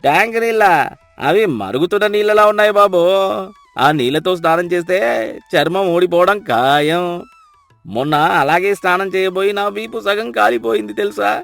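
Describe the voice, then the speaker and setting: Man doing an anxious high-voiced manservant. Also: lively intonation, fairly quick, fairly bright tone. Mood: alarmed. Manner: pleading, warning. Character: anxious put-upon manservant, deferential and whiny. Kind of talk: moral story cartoon dialogue. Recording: music behind the voice. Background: music.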